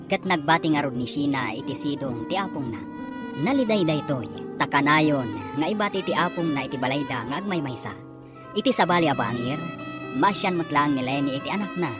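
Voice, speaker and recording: A woman announcing and narrating a radio drama in a scripted, dramatic style. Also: deep voice.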